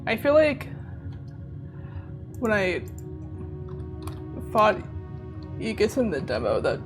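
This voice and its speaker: A slightly hoarse woman chatting casually to her viewers while she plays a game, rambling and laughing as she goes. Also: mid-range voice, wildly swooping pitch, takes it slowly. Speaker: streamer. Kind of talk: casual live-stream chat while gaming. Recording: game music playing behind.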